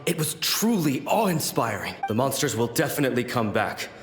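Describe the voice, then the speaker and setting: A man's voice: mid-range voice, very animated, moderate pace, roomy sound, soft background music, close to an everyday voice. A man playing a dutiful, brave samurai guard leader in a fantasy game, speaking formally and with firm command. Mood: resolute. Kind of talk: animated fantasy game voice acting.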